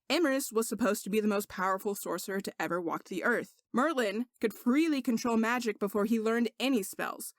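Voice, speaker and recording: A woman's voice: a little high, dry recording, studio quality. A woman reading scripted fiction aloud as an audiobook narrator.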